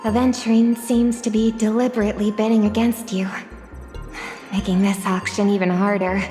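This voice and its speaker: A woman voicing a calm, thoughtful young woman in a video game cutscene, speaking gently. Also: medium pitch, moderate pace, close to an everyday voice. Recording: studio voice with long reverb and music.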